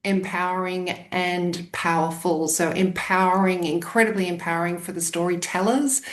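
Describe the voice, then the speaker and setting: A woman's voice: lowish voice, steady tone, moderate pace. A woman with an Australian accent, a storytelling coach explaining her work in an upbeat, earnest way over a video call.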